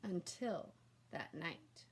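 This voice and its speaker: A woman narrating a children's storybook aloud in an animated, expressive and emphatic way. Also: lowish voice, very slow.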